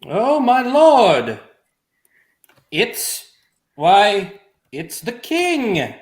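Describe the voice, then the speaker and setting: A man playing a stiff, dour, halting newcomer in a table read of a stage comedy. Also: high voice, huge pitch swings, reverberant, full character voice. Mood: awestruck.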